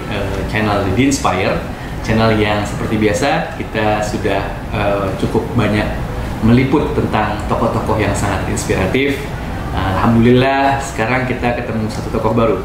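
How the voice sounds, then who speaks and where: A man's voice: lowish voice, moderate pace, noisy background. Man hosting in an echoey room. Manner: hesitant, many fillers. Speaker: host. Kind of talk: casual interview. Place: Islamic boarding school.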